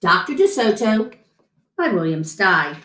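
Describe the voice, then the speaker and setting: A middle-aged woman reading a picture book aloud to children in an expressive story-time style. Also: medium pitch, measured pace. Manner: animated, chatting to an unseen guest between lines. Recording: roomy echo.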